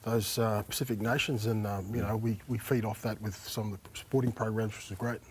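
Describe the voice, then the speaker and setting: A man, a former player on a panel, talking in a relaxed way with lots of fillers and "mate". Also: fairly low pitch, a little fast, breathy edge. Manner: relaxed, says mate often, many fillers. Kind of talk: casual panel chat.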